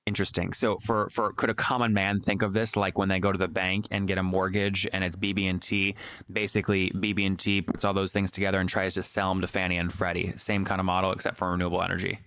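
An American man interviews a guest at a rapid clip. His voice is low and recorded very dry. He probes with direct questions, drops in short acknowledgements and sums things up in everyday terms. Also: level tone, fairly bright tone, clean tone, no room sound. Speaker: podcast interviewer, host. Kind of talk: brisk business interview.

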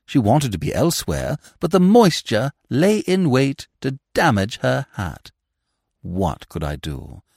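A suave male gentleman of a comic storybook as a man reads him, unflappable and blandly cordial. His grandiose, florid speech rises and falls very theatrically, in a dry close recording. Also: medium pitch, no echo, voice pushed up, full character voice. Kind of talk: audiobook character voice.

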